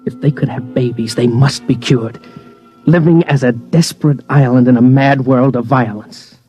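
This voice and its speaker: A man's voice: mid-range voice, fairly even intonation, moderate pace, natural voice. An American man playing a hard-bitten newspaper reporter, narrating his story in a terse, wry 1950s radio drama style. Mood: grave, reflective. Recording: muffled old radio recording, faint music.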